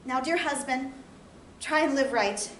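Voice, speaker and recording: A loving, anxious farm wife of the 1860s, played by a woman on stage, speaks with a high, earnest voice. Her phrasing is plain and old-fashioned, like heartfelt letters delivered as a theatrical monologue. The recording is roomy and distant, with some background noise. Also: a little slow, fairly bright tone. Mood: worried, tender.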